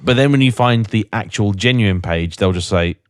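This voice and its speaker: A man's voice: on the low side, moderate pace, clean tone, dry recording, clean studio sound. A British man co-hosting a podcast in casual, chatty storytelling style, acting out bits of dialogue. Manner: chatty, quotes imagined dialogue. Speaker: podcast co-host. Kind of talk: casual chat, anecdotal storytelling.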